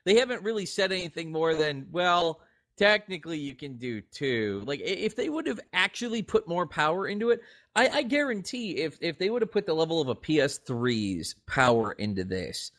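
A man co-hosting a podcast, talking casually about video games at a medium pitch. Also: very animated, moderate pace, fairly bright tone. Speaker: podcast co-host. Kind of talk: casual video game discussion.

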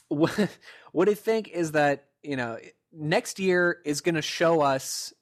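Rambling, excitable podcast guy. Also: fairly high pitch, slow pace. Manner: rambling, excited speculation with many fillers. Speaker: podcast co-host. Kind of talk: casual gaming chat.

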